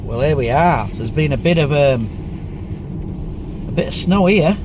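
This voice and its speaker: A man's voice: a little high, expressive, measured pace. Man vlogging from his car. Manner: chatty, rambling, many ums. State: bunged up with a cold. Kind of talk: casual driving commentary. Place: inside a car. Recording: loud road noise.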